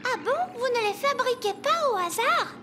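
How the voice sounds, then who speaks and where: A woman's voice: very high voice, lively intonation, normal speed, over music. A woman voicing an eager, cheerful little fairy princess in a children's cartoon, keen and chatty. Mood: curious, earnest. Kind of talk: animated children's cartoon dub in French.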